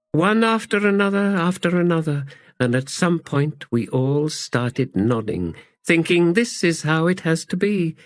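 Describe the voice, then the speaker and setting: A man's voice: a little high, dry recording, clean studio sound, pitched above the performer's own voice. A man voicing an intense, vengeful young girl in a chanting, impassioned manner.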